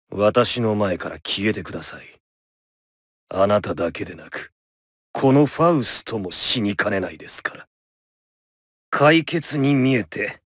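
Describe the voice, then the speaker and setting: A male actor plays an eccentric, philosophical gentleman doctor from a fighting game. His speech is courteous and measured, yet theatrical. The mid-pitched male voice swings dramatically up and down, recorded very dry. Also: no echo, close to an everyday voice. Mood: grave, probing. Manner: courteous, measured, theatrical, swinging from grave murmurs to high exclamations. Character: eccentric male doctor with a paper-bag head, polite and philosophical. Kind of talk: video game victory lines, theatrical Japanese voice acting.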